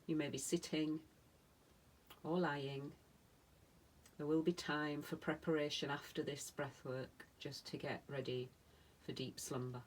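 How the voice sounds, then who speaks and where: Low-voiced woman guiding relaxation, very unhurried. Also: fairly even intonation, slight background noise. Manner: soothing, unhurried, speaking in short phrases with long pauses. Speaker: yoga nidra meditation teacher. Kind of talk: guided relaxation meditation.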